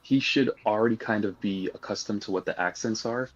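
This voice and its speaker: A man's voice: fairly low pitch, a little fast, muffled recording. A man giving earnest, cautionary advice in a casual discussion. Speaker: guest.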